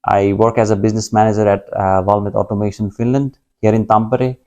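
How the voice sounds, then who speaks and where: Gravelly, low-voiced man from Nepal. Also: little pitch movement, brisk, warm tone, dull audio. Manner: hesitant, many fillers and restarts. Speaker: guest. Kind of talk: conversational interview.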